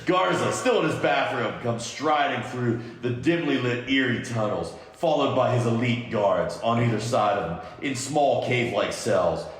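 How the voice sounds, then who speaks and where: A man's voice: medium pitch, animated, normal speed, few pauses. A man narrating scripted stage directions as a podcast host in an amateur table read. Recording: very echoey room, long reverb.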